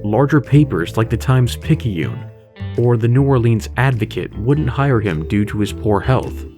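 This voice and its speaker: A man narrates a scripted horror story in a medium-pitched voice. He reads as the storyteller of an audio drama. Music plays softly behind him. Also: expressive, moderate pace. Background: music bed with guitar.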